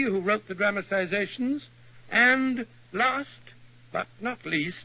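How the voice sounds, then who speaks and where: A man's voice: high-pitched, pushed and bright, dry recording, exaggerated voice. An actor playing a flustered middle-aged male doctor sidekick who stammers and blusters, in 1940s radio acting. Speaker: actor and sign-off host. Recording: dull lo-fi 1940s radio broadcast.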